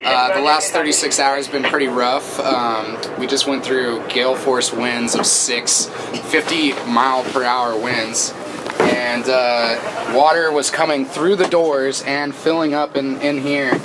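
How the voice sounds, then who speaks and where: A man's voice: fairly even intonation, a little slow. Laid-back man in a noisy boat. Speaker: boat crew member being interviewed. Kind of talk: casual account to camera. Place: inside a small boat. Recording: noisy boat interior with engine rumble.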